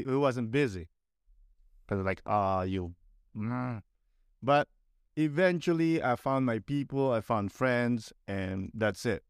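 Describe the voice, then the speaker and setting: A man's voice: medium pitch, clear, resonant tone, dry recording, clean studio sound. A young Filipino man with a Filipino accent, talking bluntly and animatedly on a casual podcast, swearing and mocking other people in put-on voices. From Philippines. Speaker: podcast guest.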